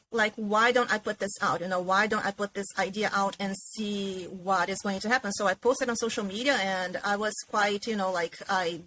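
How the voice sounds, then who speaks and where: A woman's voice: mid-range voice, pushed and bright, slight background noise, low-quality recording. A woman from Brazil, a ceramist guest, chatting casually and filling her talk with "you know" and easy laughter. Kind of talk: casual interview chat.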